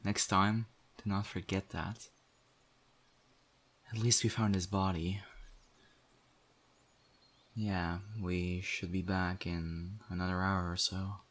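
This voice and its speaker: Casting: male actor as exasperated, principled apocalypse survivor, lowish voice, earnest scolding delivery with weighted pauses, for audio roleplay. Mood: angry, scolding, then stern. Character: stern survivor community member in zombie apocalypse, exasperated, principled. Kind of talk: audio roleplay acting addressed to the listener.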